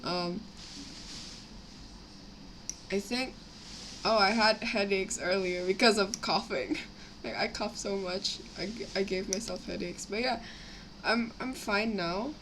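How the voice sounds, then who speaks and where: A young woman with a slightly raspy voice from a cough, rambling casually as she chats on a live stream while building models. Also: medium pitch, unhurried. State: sick with a cough, itchy throat. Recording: clear background noise, home livestream.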